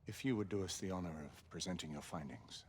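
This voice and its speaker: An actor playing a commanding senior knight of Victorian London in a video game, formal and measured in authority. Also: male voice, low-pitched, darkish tone, husky. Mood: firm. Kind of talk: video game cutscene acting.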